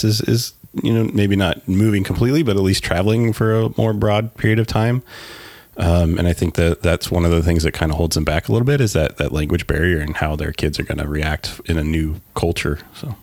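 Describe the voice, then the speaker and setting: Male podcast host, low voice, close dry recording, conversational and somewhat halting. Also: steady tone, a little mellow, no echo. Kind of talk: casual podcast interview.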